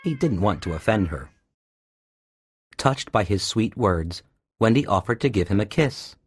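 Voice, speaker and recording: A man's voice: lowish voice, lively intonation, a little slow, husky, no echo, studio quality, a faint crowd. A man narrating a scripted children's storybook tale. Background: music.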